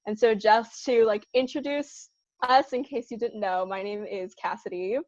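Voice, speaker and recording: A woman's voice: medium pitch, steady tone, normal speed, a little mellow, dry recording, lo-fi sound. A young woman from Southern California co-hosting a podcast, talking casually with lots of fillers and laughter. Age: young adult. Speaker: podcast co-host. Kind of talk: casual chat.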